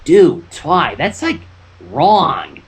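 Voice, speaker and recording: Reader doing a brash, husky young pony mare. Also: medium pitch, sing-song, extremely slow, full character voice. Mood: blunt. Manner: slangy, blunt, casual. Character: brash, laid-back young pegasus pony mare, teasing.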